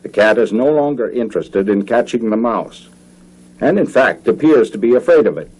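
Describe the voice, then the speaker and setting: An American man reading scripted narration for a 1950s government educational film in a formal, measured, clearly enunciated manner. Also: mid-range voice, expressive, darkish tone, rough recording.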